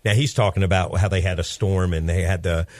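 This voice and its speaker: A man's voice: sits low, steady tone, a little fast, a little mellow, dry recording. A man in his fifties hosting a radio show, talking in an upbeat, chatty, rambling way with his young son as guest. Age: 50s.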